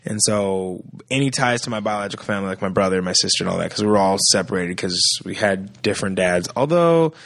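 A man in his thirties, a musician guest on a podcast, telling stories in a casual, animated way and acting out the voices of people he quotes. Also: deep voice, fast, gravelly, few pauses, no room sound. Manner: animated storyteller who acts out quoted voices. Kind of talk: casual chat.

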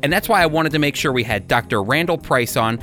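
A man's voice: medium pitch, expressive, fast talker, bright, forceful tone, soft background music. A man hosting a radio program, speaking conversationally as he introduces and interviews a guest. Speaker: radio show host and interviewer.

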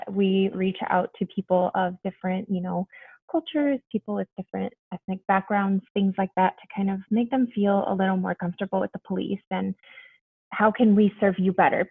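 A woman's voice: mid-range voice, gravelly, slightly rough, dry recording. A young woman from Mexico, a police officer, chatting casually and bubbly as a podcast guest. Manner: bubbly, chatty, frequent ums and laughs. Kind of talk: casual interview chat. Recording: dry tinny phone-like line.

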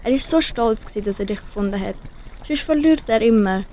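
A woman's voice: a little high, lively intonation, a little fast, mellow, slight background noise, close to an everyday voice. A teenage girl with a Swiss German accent voicing a sensible, comforting fish in an amateur school radio play. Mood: reproachful. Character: sensible, comforting red-finned fish friend.

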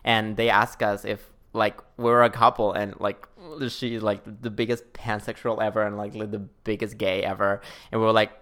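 A young man from Chile, a podcast guest, talking casually and haltingly with many fillers. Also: fairly low pitch, flat delivery, a bit bright. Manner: halting, filler-heavy, laughing easily. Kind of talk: casual chat.

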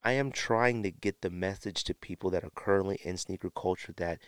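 Low-voiced American man podcasting casually. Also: fairly quick, clean tone, no echo, studio quality. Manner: conversational, slangy, emphatic repetition. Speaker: podcast host. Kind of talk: casual solo podcast talk.

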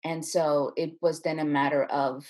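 Woman, low-pitched, slightly rough husky tone, effusive, conversational interview guest. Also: fairly even intonation, moderate pace, darkish tone. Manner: enthusiastic, effusive. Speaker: playwright and screenwriter, interview guest.

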